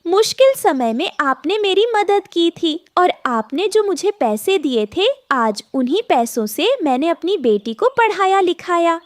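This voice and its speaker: Woman as an earnest, high-voiced mother bird. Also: no echo, studio quality. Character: hardworking mother bird, earnest, loving, grateful. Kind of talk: Hindi children's cartoon story.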